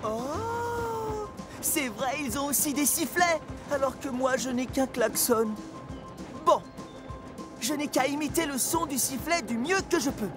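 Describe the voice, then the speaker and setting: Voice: sits high, animated, unhurried, over loud music. An actor voicing an earnest, eager, childlike little male electric locomotive in a children's cartoon. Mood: wistful. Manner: makes imitation engine noises, sincere. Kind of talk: French-language children's cartoon voice acting.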